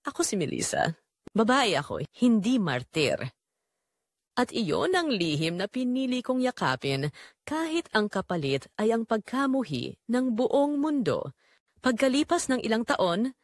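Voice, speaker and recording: A woman's voice: on the high side, very animated, a little slow, dry recording, clean studio sound. A woman with a Filipino accent giving emotive, confessional first-person narration for a scripted audio drama.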